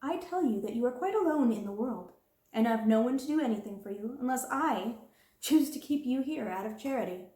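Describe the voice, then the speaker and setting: A woman's voice: on the high side, expressive, brisk, mellow, reverberant, pitched above the performer's own voice, close to an everyday voice, low-quality recording. A woman voicing a harsh, domineering middle-aged schoolmistress in a storybook reading, cold and scornful.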